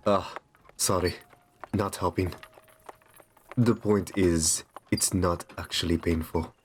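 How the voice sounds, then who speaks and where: A man playing a courteous, possessive vampire master in an ASMR roleplay, soft-spoken, soothing and reassuring with genteel endearments. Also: sits low, fairly even intonation, slow pace, a little hiss or hum. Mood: coaxing, reassuring. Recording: music under the voice. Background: background music.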